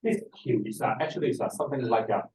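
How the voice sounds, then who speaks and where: A middle-aged or older man with a Hong Kong accent, speaking haltingly and repeating words as he presents an informal seminar. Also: mid-range voice, darkish tone, slight background noise. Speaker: feng shui master, seminar speaker. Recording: distant microphone in a room.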